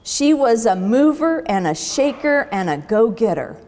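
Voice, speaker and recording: Female zoo educator for children's storytime: fairly high voice, slow pace, recorded with long reverb. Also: very animated, mellow. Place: animal barn at a zoo. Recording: long echoing hall reverb.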